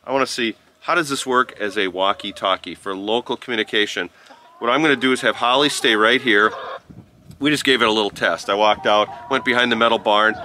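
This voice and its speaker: Halting, bright-voiced man reviewing gear outdoors. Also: lowish voice. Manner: halting, informal, step-by-step explaining. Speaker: product reviewer and vlogger. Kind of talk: casual unscripted product review to camera. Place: outdoors on a rural farm. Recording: noisy outdoor farm ambience with chickens. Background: clucking chickens, outdoor ambience.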